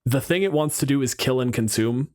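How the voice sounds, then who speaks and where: A man's voice: medium pitch, fairly quick, darkish tone. A man hosting a podcast in a casual, joking discussion style, thinking aloud and arguing his points with some swearing. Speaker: podcast host.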